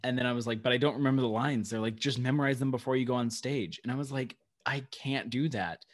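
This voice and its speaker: A man's voice: mid-range voice, fast. A man co-hosting a podcast, telling stories in casual chat with frequent filler words. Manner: chatty storytelling, frequent "like". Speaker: podcast co-host.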